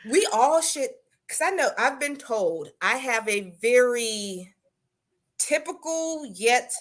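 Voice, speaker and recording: Brief: Southern American woman co-hosting a live chat, higher-pitched, chatty and laughing. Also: takes it slowly. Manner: chatty, laughs often, animated storyteller.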